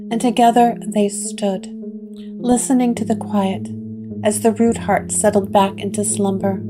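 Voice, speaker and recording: A woman narrating a gothic horror story with measured, atmospheric delivery. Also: mid-range voice, rough recording. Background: guitar music.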